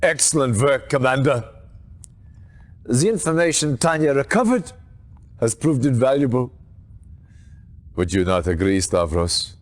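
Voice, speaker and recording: A man playing a stern, resolute military commander in a video game, speaking in a formal, dramatic, deliberate way. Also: medium pitch, expressive, natural voice. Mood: pleased.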